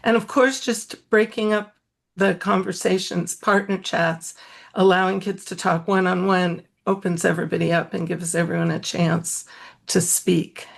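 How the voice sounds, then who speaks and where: A woman's voice: lowish voice, steady tone, moderate pace, creaky. A woman talking reflectively and loosely as a panelist in an online discussion over a video call.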